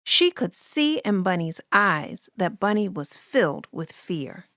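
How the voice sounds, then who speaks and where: Female storybook narrator, slow and expressive, deliberate rhyming read, dry close sound. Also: fairly low pitch, no room sound. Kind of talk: scripted children's story narration.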